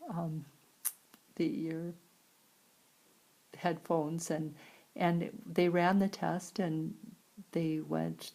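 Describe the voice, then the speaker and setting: A woman with an American accent and a creaky voice, interpreting a signed personal story aloud in halting phrases with pauses. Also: fairly low pitch, dark tone, low-quality recording. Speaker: sign language interpreter voicing a signer.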